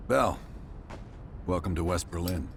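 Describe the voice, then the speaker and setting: An actor playing a grave, commanding CIA field officer in a video game, speaking tersely. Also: male voice, sits low, unhurried, creaky, background noise. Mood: businesslike. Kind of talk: cinematic video game voice acting. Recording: loud music behind the voice.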